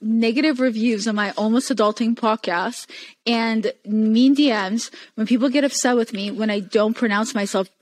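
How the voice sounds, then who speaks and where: A woman's voice: a little high, steady tone, normal speed, no room sound, low-quality recording. A young woman whose first language is Hebrew, speaking as a podcast guest and telling her story in a candid, earnest way. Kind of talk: casual podcast conversation.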